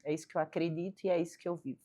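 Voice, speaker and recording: A middle-aged woman with a marked Northeastern Brazilian accent and a somewhat low voice. She speaks earnestly and steadily in a faith-based interview and keeps adding "né" as she gathers her thoughts. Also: little pitch movement, warm tone. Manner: earnest, pauses with frequent "né". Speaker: guest, physiotherapist.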